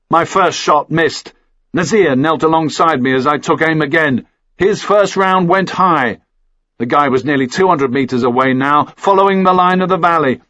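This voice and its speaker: Man, higher-than-average pitch, scripted thriller narration, muffled recording. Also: a bit bright, dry recording. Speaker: audiobook narrator.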